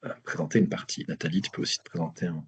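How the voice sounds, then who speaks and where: A man's voice: low-pitched, steady tone, very fast, husky. A man with a Belgian French accent presenting a webinar over a video call, hesitant with many restarts. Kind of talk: live online presentation.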